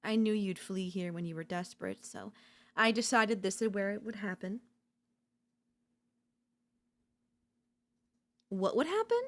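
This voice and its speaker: A woman playing an obsessive, domineering yandere in a dark ASMR roleplay, seductive and smug, slow and teasing, slipping into whispers. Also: mid-range voice, animated. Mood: gloating, excited. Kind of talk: dark ASMR audio roleplay, intimate dramatic acting.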